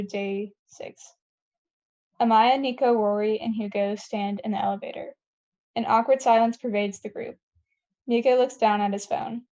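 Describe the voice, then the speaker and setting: A woman's voice: fairly high pitch, mellow, highs cut off. A woman reading scripted stage directions at a table read, plainly and with the odd stumble.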